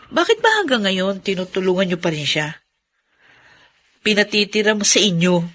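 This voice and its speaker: A Filipino actress playing a resentful, sharp-tongued older sister in a radio drama, scornful and accusing. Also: female voice, medium pitch, lively intonation, normal speed.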